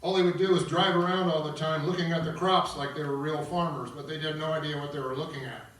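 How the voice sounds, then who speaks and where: A man reading stage directions as narrator at an opera table read, with a theatrical, deliberate storytelling delivery. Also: on the high side, fairly even intonation, a bit bright, recorded from a distance. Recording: roomy echoing recording from afar, guitar music.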